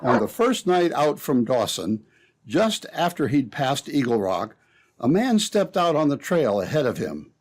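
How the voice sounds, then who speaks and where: A man's voice: medium pitch, big pitch swings, unhurried. A man announcing and narrating in a dramatic, theatrical old-time radio style.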